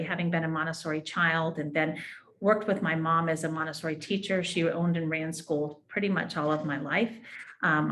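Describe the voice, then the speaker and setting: A woman's voice: sits low, fairly bright tone. A woman educator giving an explanatory presentation on a school program.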